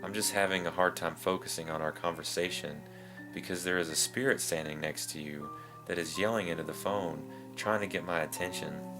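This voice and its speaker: Male narrator for creepy true-story readings, low-pitched, over a bed of plucked guitar music. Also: fairly even intonation, a little fast. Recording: music behind the voice.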